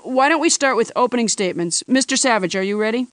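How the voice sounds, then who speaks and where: Actor as a cartoon judge, weary, deadpan, resigned, medium pitch, dry sound. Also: fast, dry recording, natural voice. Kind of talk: animated comedy courtroom dialogue.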